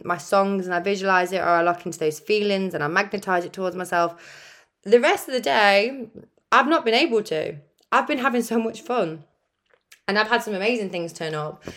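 A young woman hosting her own podcast, with a croaky, deep voice, giving earnest, chatty pep talks and laughing often. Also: a bit bright. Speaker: podcast host. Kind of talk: casual motivational solo podcast.

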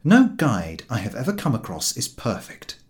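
Male narrator, wry delivery, animated pitch swings, mid-pitched voice, scripted comic storytelling. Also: moderate pace. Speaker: audiobook narrator.

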